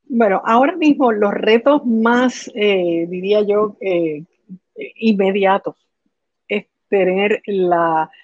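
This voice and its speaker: A woman in her sixties with a Puerto Rican accent explains things as an interview guest, pausing often mid-sentence, over a video call. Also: mid-range voice, darkish tone, no room sound. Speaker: interview guest, patient foundation director. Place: living room at home, indoors. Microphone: computer video call. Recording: dull, muffled video-call audio.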